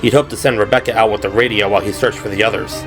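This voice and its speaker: A man's voice: fairly low pitch, fairly even intonation, rapid-fire. Man narrating horror over music. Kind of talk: dramatic scripted horror narration. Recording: loud music and effects behind voice. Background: music and sound effects.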